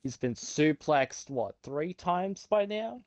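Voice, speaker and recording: Slow, animated man in casual banter. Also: on the high side, no room sound. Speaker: co-commentator.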